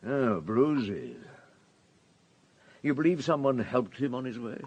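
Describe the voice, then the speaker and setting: A police superintendent in a radio play, with a middling male pitch. He questions in an unhurried, probing way and carries easy authority, turning brisk when he commands. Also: sing-song, darkish tone, highs cut off. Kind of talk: radio drama acting.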